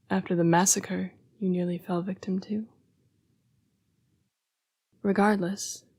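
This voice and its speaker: A woman playing a remorseful, gentle young vampire in an intimate roleplay audio, speaking in a breathy, halting hush. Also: mid-range voice. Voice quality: breathy, whispery. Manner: hushed, halting, earnest and apologetic. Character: remorseful, gentle young female vampire, protective, fighting bloodlust. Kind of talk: roleplay audio acting, intimate dramatic monologue.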